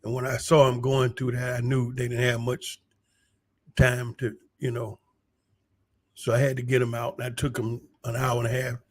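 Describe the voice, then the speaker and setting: An American man with a hoarse voice, talking casually to his viewers in a halting, unhurried, folksy way. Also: mid-range voice, completely flat delivery. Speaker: vlogger giving a personal update. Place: small room at home, a bomb shelter used as studio.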